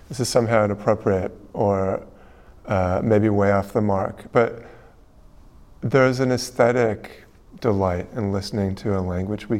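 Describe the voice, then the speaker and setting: A man's voice: lowish voice, darkish tone. A man interviewing a guest, asking long, elaborate questions in a musing way.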